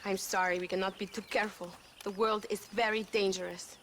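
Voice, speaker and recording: A woman's voice: medium pitch, fairly even intonation, fairly quick, a bit bright, slight background noise, natural voice. An actress playing a passionate, earnest young Mexican woman revolutionary in a video game, speaking formally and emphatically with a Mexican accent. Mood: guarded. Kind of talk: dramatic video game cutscene acting.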